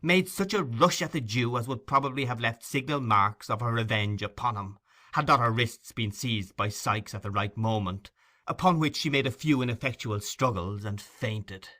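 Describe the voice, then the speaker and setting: A man's voice: mid-range voice, normal speed, pushed and bright. A man reading a classic novel aloud as an audiobook narrator, in dramatic scripted storytelling.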